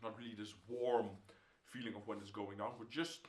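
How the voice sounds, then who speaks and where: Young Dutch-accented guy, lowish voice, relaxed home whiskey review, camera mic in a roomy-sounding room. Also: normal speed, a little hiss or hum. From Netherlands. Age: young adult. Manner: relaxed, repeats words, drops to a whisper while tasting. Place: indoor home room, seated in armchair.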